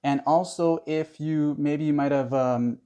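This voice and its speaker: A man's voice: fairly high pitch, steady tone, measured pace, warm tone, smooth. A man narrating a casual how-to tutorial in a conversational, instructional way. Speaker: tutorial host.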